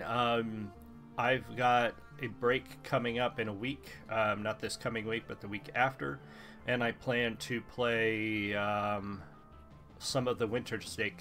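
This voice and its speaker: A man hosting a let's play, talking casually with lots of ums, his voice stuffy and a little hoarse. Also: fairly low pitch. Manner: rambling, relaxed, many ums. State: stuffy, slightly hoarse throat. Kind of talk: casual gameplay commentary. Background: faint game music.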